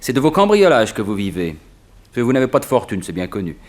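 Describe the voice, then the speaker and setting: A man playing a suave, confident gentleman thief in a 1960s French radio serial, teasing and theatrical. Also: medium pitch, big pitch swings, quick pace, lightly acted. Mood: amused, mocking.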